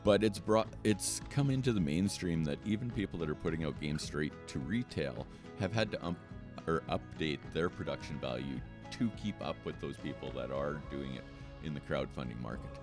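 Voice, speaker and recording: A man's voice: a bass voice, moderate pace, over music. A middle-aged man chatting casually as an interview guest about a hobby. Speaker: guest, board game radio show host.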